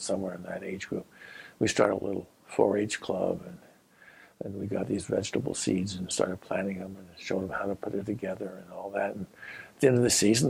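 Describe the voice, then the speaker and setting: An older man from Colorado, a former Peace Corps volunteer and geography professor, recalling his experiences in an unhurried, halting way with many pauses. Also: low voice, creaky, a little mellow, husky, poor audio. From Colorado, USA. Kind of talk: reminiscing personal story.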